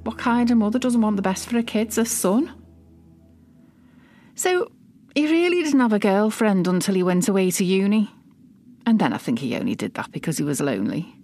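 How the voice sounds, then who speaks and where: A woman's voice: medium pitch, very animated, dark tone, soft background music. A woman playing an obsessively doting, self-justifying middle-aged British mother in a confessional audio drama, speaking intimately and defensively. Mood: possessive, justifying. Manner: intimate, defensive, hesitant pauses. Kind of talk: dramatic monologue, confessional fiction podcast.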